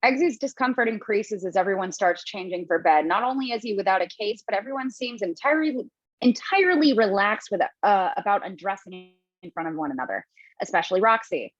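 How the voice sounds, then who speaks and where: A woman's voice: medium pitch, animated, a little fast, no room sound, muffled recording. A woman reading stage directions aloud in a table read, plainly, with casual asides.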